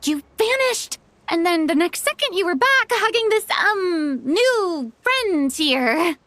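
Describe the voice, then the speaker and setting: A woman's voice: extremely high pitch, lively intonation, slow pace, a bit bright, dry recording, heavily acted. An actress voicing an inquisitive young female companion in a game cutscene. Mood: puzzled.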